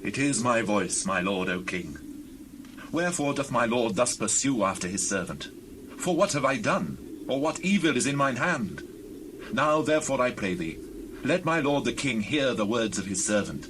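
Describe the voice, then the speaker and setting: An actor playing an earnest, pious fugitive warrior in a dramatized scripture, speaking in solemn, formal, declamatory archaic phrases. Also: male voice, medium pitch, very animated, moderate pace, fairly bright tone, slight background noise. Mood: pleading, humble. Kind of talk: dramatized scripture audio acting.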